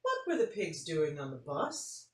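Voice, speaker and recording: Woman reading as an incredulous mom, lively intonation. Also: low-pitched, unhurried, heavily acted. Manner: questioning, disbelieving. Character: curious, incredulous mother questioning her child. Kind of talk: picture-book read-aloud. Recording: roomy, faint noise, distant mic.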